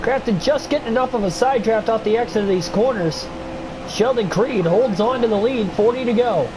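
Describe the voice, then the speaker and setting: Young male streamer, congested, voice worn; excitable play-by-play with casual asides, noisy home mic. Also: very high voice, normal speed. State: stuffy nose, voice getting worn. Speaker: streamer doing race commentary. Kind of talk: play-by-play sim racing commentary.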